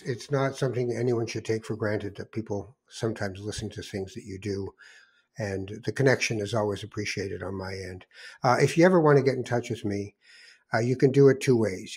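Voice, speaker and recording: A man's voice: lowish voice, a little mellow, breathy edge. An older man with a Canadian accent hosting a podcast in a casual, conversational way, with reflective, rambling storytelling. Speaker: podcast host.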